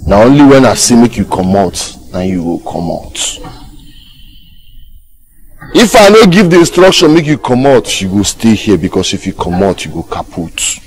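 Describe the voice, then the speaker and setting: An actor playing a domineering, sardonic gang boss in a film drama, with a Nigerian accent and a taunting, lecturing manner. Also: male voice, low voice, creaky, slight background noise, exaggerated voice, rough recording. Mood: commanding, menacing. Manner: taunting, lecturing, rhetorical questions. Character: domineering, sardonic male gang boss, self-styled master.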